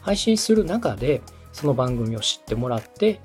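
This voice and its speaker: A man's voice: medium pitch, very animated, normal speed, a little mellow, faint music behind. A man hosting a podcast, explaining step by step in a polite, instructive coaching style. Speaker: podcast host and radio director giving advice.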